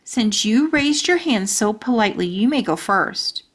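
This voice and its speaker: A woman plays a courteous kindergarten teacher from a storybook. She speaks slowly and formally, keeping things orderly and polite. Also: a little high, lively intonation, slightly rough. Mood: approving.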